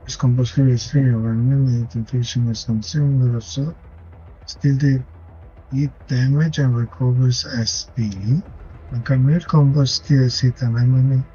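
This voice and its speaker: A man doing gameplay commentary, reading game text out loud as he plays. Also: medium pitch, fairly even intonation, normal speed. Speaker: gaming video host. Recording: dull lo-fi mic, faint music behind.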